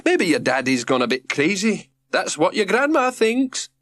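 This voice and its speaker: A man voicing a homely, brisk and kindly woman in an audiobook. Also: sits high, very animated, fairly bright tone, voice pushed up. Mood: concerned.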